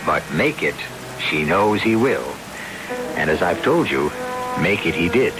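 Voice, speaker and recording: A suave male mystery storyteller with a very deep voice. He narrates old-time radio deliberately and ominously, leaving theatrical pauses. Music plays clearly behind him. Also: animated, low-quality recording. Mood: ominous, knowing. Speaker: host and narrator.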